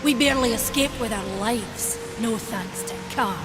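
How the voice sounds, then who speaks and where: A woman's voice: fairly high pitch, over loud music, lightly acted. Woman as a solemn Scottish maker, reverberant. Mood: regretful, concerned. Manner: measured, earnest. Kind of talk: dramatic video game cutscene acting. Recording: long hall reverb.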